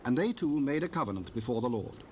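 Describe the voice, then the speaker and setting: A man narrating a dramatized scripture recording in a formal, measured storytelling manner. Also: mid-range voice, big pitch swings, darkish tone, slight background noise, close to an everyday voice.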